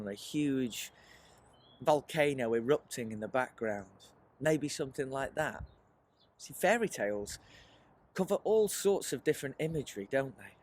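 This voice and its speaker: A man leading a children's writing workshop in an animated, encouraging presentational style, often putting questions to his listeners. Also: on the high side. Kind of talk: presentational teaching aimed at children, with rhetorical questions.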